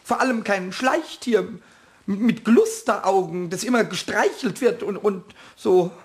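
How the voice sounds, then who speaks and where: Casting: small jealous goblin-like kobold, children's audio drama; high squawky voice, wildly animated, excitable, defiant, repeats words in a huff. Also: male voice, unhurried. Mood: defiant grumbling. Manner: excitable, stammering repetitions, indignant. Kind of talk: German children's radio play acting.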